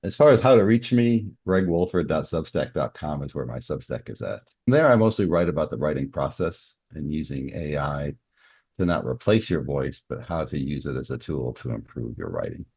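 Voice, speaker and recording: A man's voice: very deep voice, big pitch swings, normal speed, a little mellow, slightly rough, no room sound, dull audio. A man answering as an interview guest and author, explaining things in a casual, conversational way.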